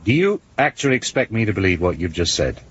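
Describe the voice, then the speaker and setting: An actor playing a blunt, sceptical police inspector who probes and challenges in a radio drama. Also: male voice, on the low side, sing-song, quick pace, fairly bright tone, muffled recording, slight background noise. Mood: accusing, insistent. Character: dogged, suspicious police inspector confronting a secret service man.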